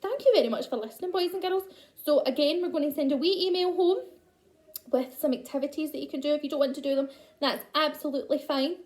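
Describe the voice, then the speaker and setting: A woman's voice: sits high, fast talker, a little mellow. A Scottish woman reading a picture book to young children as a nursery worker, speaking expressively straight to them.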